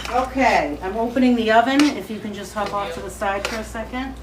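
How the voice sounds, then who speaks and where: A woman with a light Boston accent hosting a live cooking demo from her kitchen, chatty and excitable as she talks to viewers. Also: fairly high pitch, a little fast, noisy background. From Boston area. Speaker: cooking show host. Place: home kitchen, indoors.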